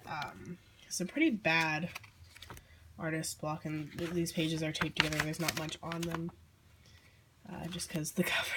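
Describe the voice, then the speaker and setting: Teen girl with a sick croaky voice, kinda low, chatty and apologetic, noisy background hiss. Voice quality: croaky, losing voice. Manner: apologetic, rambling with fillers and small laughs. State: hoarse from illness. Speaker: vlogger. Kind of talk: casual sketchbook walkthrough. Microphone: handheld camera mic.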